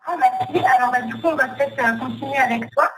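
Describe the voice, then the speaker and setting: A woman with a slightly high voice hosts a discussion. She asks her questions informally and without a script, pausing and rephrasing as she goes. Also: noisy background. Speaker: panel moderator. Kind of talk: informal, unscripted interviewing.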